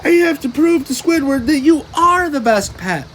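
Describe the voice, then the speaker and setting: Man as cartoon sea sponge, high-pitched, eager, gushing, exclaiming, animated storybook character. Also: normal speed, slight background noise. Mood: panicked, pleading.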